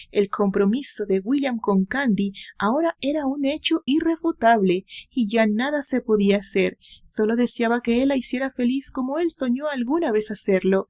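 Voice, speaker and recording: A woman's voice: fairly high pitch, a little mellow. A woman with a Mexican accent reading a romantic novel aloud as its author, in a dramatic audiobook style.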